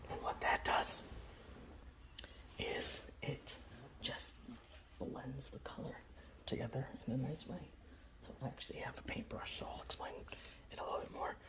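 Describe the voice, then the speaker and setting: A man softly whispering in a casual, rambling ASMR style, breathy and close to the microphone, showing off a hobby. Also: mid-range voice, fairly even intonation, moderate pace, long pauses, rough recording. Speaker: ASMR video host. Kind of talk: ASMR, informal hobby show-and-tell.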